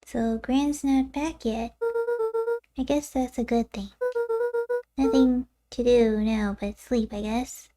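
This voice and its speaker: Woman voicing a high-pitched, gentle young boy. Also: fairly even intonation, slow pace, long pauses, soft background music. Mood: anxious, hesitant. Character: earnest, anxious young boy. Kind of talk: video game character dialogue read aloud.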